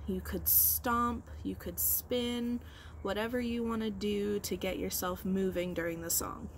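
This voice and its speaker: A woman's voice: medium pitch, slight background noise. A woman teacher leading storytime for young children, explaining things simply and prompting them with questions. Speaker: early-grade teacher.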